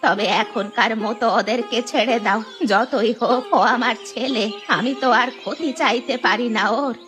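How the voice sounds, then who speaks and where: A woman voicing a gentle, forgiving old village mother in a cartoon. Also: on the high side, normal speed, music in the background, close to an everyday voice. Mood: pleading, forgiving. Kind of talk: Bengali cartoon voice acting.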